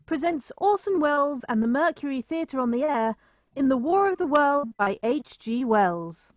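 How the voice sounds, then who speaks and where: A woman's voice: sits high, lively intonation, moderate pace, no echo, clean studio sound. A woman playing a formal, matter-of-fact 1930s radio announcer in a scripted drama. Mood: formal, presenting.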